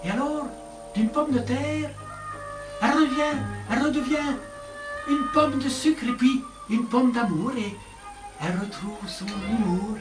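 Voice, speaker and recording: A man's voice: very high-pitched, measured pace. A man performing as a naive, grandiloquent stage clown in a live comedy act, declaiming theatrically. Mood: poetic. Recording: roomy theatre, from far off, with music.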